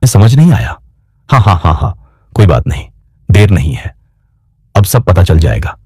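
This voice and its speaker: A man's voice: low-pitched, big pitch swings, normal speed, a little mellow, husky, no echo, clean studio sound, full character voice. A man voicing a villainous senior officer in a storybook tale, taunting and threatening with gloating menace. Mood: cackling, sinister.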